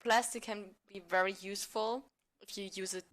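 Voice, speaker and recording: A woman's voice: medium pitch, steady tone, normal speed, fairly bright tone. A woman chatting casually as a podcast guest, thinking aloud and qualifying her answers as she goes. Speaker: podcast guest, amateur theatre member. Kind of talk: casual chat.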